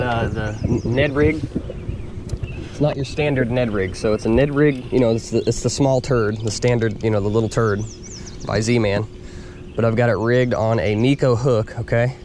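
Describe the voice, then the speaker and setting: A tired young man from Indiana, hosting a fishing vlog from a kayak on a river, talking excitedly to a body camera as he fishes and losing his voice a little. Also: medium pitch, moderate pace. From Indiana, USA. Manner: excited, rambling, talks to camera while fishing. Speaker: fishing vlogger. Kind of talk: casual on-the-water vlog. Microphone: action camera on head or chest. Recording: outdoor action-cam audio with noise.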